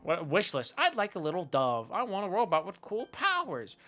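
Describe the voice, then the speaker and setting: A man's voice: high voice, wildly swooping pitch, moderate pace, pushed and bright. A man in his twenties giving casual, slangy live game commentary in a reactive, jokey manner. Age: 20s. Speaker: game streamer. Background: faint game music.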